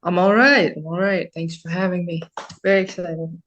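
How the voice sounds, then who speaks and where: A woman's voice: lowish voice, fairly even intonation, normal speed, fairly bright tone, muffled recording. A woman voice actor as an interview guest, telling things informally and a little self-deprecatingly. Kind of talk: casual interview chat.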